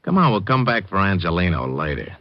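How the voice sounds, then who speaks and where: A man's voice: low-pitched, sing-song, a little fast. A man playing a sadistic racketeer thug in an old radio crime drama, coolly threatening. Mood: cool menace. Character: sadistic, menacing low-voiced racketeer thug. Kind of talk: 1940s-50s radio crime acting. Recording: dull vintage radio recording.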